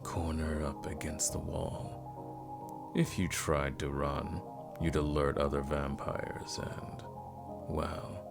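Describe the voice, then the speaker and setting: Guy doing a slow, super deep vampire lord for asmr roleplay, smug n seductive, teasing, music behind him. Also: flat delivery, exaggerated voice. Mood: menacing, amused. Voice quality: deep, creaky, breathy at times. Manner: slow, teasing, drawn-out, condescending. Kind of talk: ASMR roleplay audio drama.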